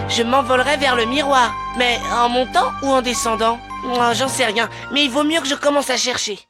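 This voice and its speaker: Woman acting an earnest high-voiced cartoon baby. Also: close to an everyday voice. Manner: childlike, earnest. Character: imaginative, adventurous baby in a cartoon.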